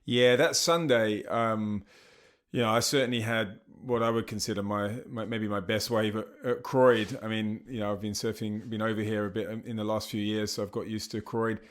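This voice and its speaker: A middle-aged man with an English accent talks easily as a podcast guest, dropping surf slang between his "uh"s and "you know"s. His voice sits a little low. He tells stories at an unhurried, chatty pace. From England. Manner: relaxed storytelling with surf slang and many fillers. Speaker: charity founder, guest. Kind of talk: casual interview chat.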